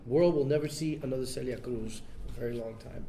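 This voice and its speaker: Man talking haltingly, faint rumble behind. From United States. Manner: relaxed, halting, with many fillers and restarts. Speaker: interview guest, son of a famous bandleader. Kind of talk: casual interview.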